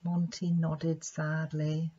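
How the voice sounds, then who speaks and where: A woman's voice: low-pitched, monotone, takes it slowly, warm tone, husky. A woman narrating a children's story expressively, in a slightly dull recording. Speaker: storybook reader, play therapist.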